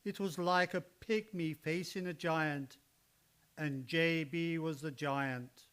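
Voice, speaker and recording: A solemn storyteller, played by a man narrating a suspense tale. The delivery is measured and slow, in the scripted manner of old-time radio drama. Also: on the high side, steady tone, fairly bright tone, smooth.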